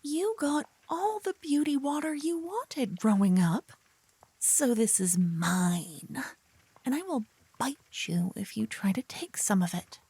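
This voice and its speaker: A woman playing a silly, adorable young paladin in an ASMR audio roleplay, teasing and theatrical, hushed in places. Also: high voice, big pitch swings, takes it slowly, husky, no room sound, heavily acted. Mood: possessive, playful. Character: adorable silly young female paladin, bossy, smitten, playful know-it-all.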